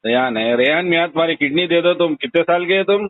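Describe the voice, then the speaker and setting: A man's voice: a little high, fast talker, no echo. A man with a Hyderabadi accent talking bluntly and insistently in a casual conversation over the telephone. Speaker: phone call recipient. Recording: tinny narrowband phone call recording.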